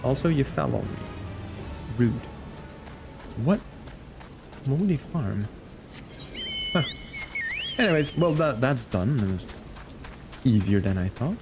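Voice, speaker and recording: A man's voice: medium pitch, sing-song, slow, creaky, long pauses, over music. A man streaming a game with casual, muttered commentary and reactions.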